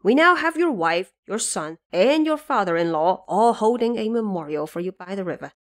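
A woman's voice: mid-range voice, animated, normal speed, close to an everyday voice. A woman playing a courteous, generous male Dragon King in an audio drama, stately and formal. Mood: gracious celebration.

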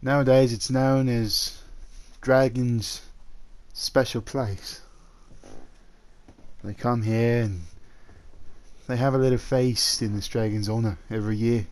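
Male actor as possessive dragon suitor in human form, mid-pitched, gently coaxing, halting, for roleplay audio drama. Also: steady tone, a little hiss or hum, lightly acted. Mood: eager, fond. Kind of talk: roleplay ASMR audio drama, addressing the listener.

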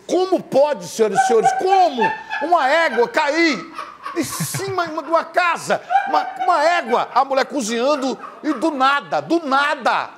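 An older man hosting a TV news show, presenting in a loud, theatrical way, laughing and shouting to the camera in a studio. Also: very high-pitched, very animated, a little slow, very noisy.

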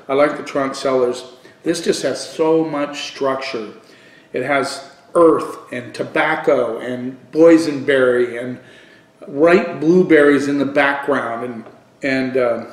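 A middle-aged man giving a casual wine review on video, thinking aloud haltingly and repeating words for emphasis. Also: mid-range voice. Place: living room at home, indoors. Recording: echoey room.

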